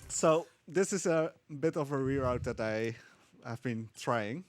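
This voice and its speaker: A man giving casual live speedrun commentary, explaining haltingly with dry humour. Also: medium pitch, animated, soft background music. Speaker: speedrunner at a gaming marathon.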